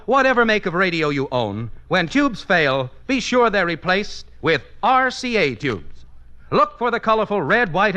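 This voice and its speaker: A man's voice: high voice, bright, forceful tone. A man announcing on 1950s radio from a script, with a polished, emphatic selling delivery.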